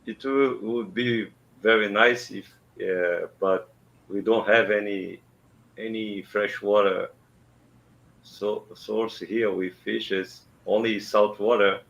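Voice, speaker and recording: Halting Brazilian man, gravelly low voice. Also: fairly even intonation, fairly bright tone, a little hiss or hum. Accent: Brazilian Portuguese. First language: Portuguese. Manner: halting, searches for English words. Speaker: caller. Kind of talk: casual chat. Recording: dull lo-fi line.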